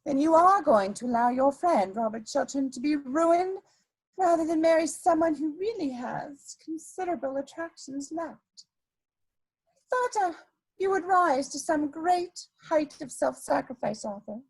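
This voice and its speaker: Worldly society adventuress, woman performer, high and lilting with big pitch swings; coquettish, theatrical, scheming, for a period comedy play. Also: animated, low-quality recording. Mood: cool, menacing. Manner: theatrical, coquettish, drawn-out emphasis.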